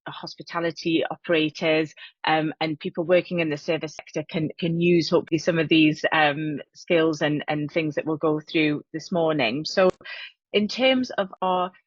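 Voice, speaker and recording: Low-voiced woman hosting a webinar. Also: fairly even intonation, moderate pace, no room sound, lo-fi sound. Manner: many fillers, repeated words, friendly. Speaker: webinar co-host, hospitality marketing consultant. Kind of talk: webinar presentation.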